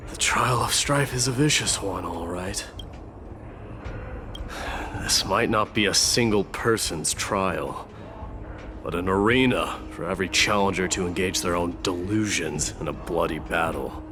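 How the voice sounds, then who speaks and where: An actor playing a proud, stern warrior prince in a video game, with a curt, brooding and measured delivery. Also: male voice, sits low. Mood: grim, brooding. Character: stern, battle-hardened young warrior prince, proud and defiant. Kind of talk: dramatic video game dialogue. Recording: studio voice under game music.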